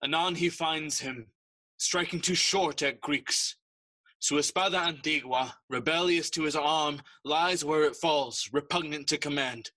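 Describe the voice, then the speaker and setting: A solemn travelling player declaiming in a Shakespearean audio drama. The voice is fairly high for a male, bright and forceful. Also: measured pace, low-quality recording. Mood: grave, declamatory. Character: travelling tragedian declaiming a grim tale of war. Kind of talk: Shakespearean audio play acting, bilingual.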